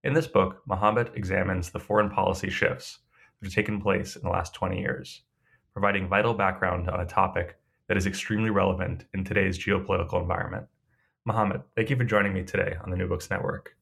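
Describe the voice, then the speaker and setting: A man's voice: sits low, fairly even intonation, fast talker, gravelly, fairly bright tone, husky. A man hosting a book interview podcast, talking in a hesitant way with lots of fillers and restarts. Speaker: podcast host.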